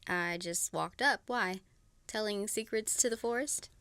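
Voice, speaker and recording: A young farm woman in love in an animated drama, speaking at a middling pitch. She is confident and teasing, with a possessive streak, recorded very dry. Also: a little slow, clean tone, no echo. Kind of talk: naturalistic animated series acting.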